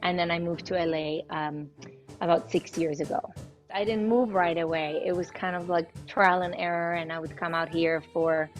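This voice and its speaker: A young woman from Israel, a singer, answering interview questions in a warm, proud, flowing way. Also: on the low side. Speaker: guest singer. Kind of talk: casual interview chat.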